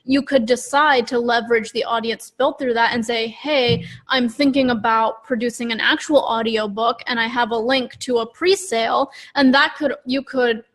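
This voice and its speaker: A woman with a fairly high voice co-hosts a podcast over a video call. She talks in an informal, conversational way, loosely phrased and peppered with "like". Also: steady tone, moderate pace, hardly any pauses, a bit bright. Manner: informal, frequent "like" and "sort of". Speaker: podcast co-host. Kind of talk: casual conversational podcast chat.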